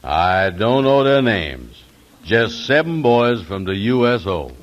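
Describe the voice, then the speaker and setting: An actor playing a slow-talking folksy man with nonstandard dialect grammar in 1940s radio drama style. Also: fairly low pitch, very animated, dull audio. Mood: matter-of-fact. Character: Andy, sad but proud slow-talking man.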